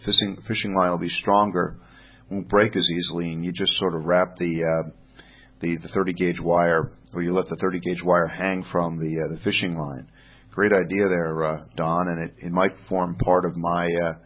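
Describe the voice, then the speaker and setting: Sex: man. Role: podcast host. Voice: creaky, husky, low. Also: dull audio. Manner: halting, restarts phrases often. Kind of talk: casual podcast monologue reading listener mail. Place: home in Rome.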